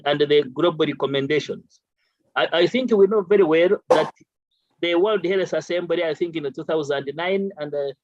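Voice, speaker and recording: Ugandan male doctor, high-ish voice, lo-fi dry line, formal and measured. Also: no echo. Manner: measured, formal, many fillers. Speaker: family physician, panelist. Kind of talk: conference panel response.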